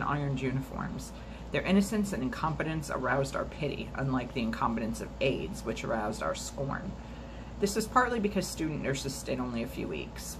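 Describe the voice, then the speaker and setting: A woman reading a memoir aloud for a storytime video at a steady, measured pace, now and then stumbling and restarting a word. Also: very deep voice, background noise. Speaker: storytime reader.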